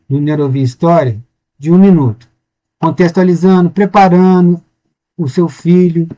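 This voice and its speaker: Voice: mid-range voice, dark tone. Sotaque brasileiro, explicação didática, frases interrompidas e retomadas. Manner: informal, with frequent restarts and "tá?", "ok?" checks. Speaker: teacher presenting a course. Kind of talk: online class, explaining to teachers and mothers.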